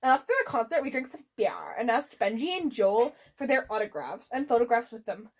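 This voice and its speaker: A woman's voice: on the high side, lively intonation, fairly quick. A woman giving a comic dramatic reading of fan fiction, theatrical and prone to giggling. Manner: theatrical, giggly, often breaking up. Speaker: reader.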